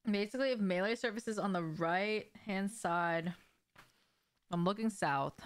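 A woman's voice: mid-range voice, unhurried. A young adult woman chatting casually on a livestream while gaming, speaking in short, informal, slangy phrases. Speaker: game streamer. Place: small indoor room at home.

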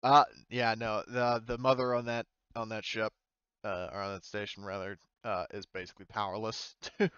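Male game master, low and clean-toned, casual improvised explanations, on a dry, slightly dull recording. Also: little pitch movement, moderate pace, fairly bright tone, clean tone, dry recording. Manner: informal, explanatory, swears casually. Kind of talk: improvised tabletop game narration, casual chat.

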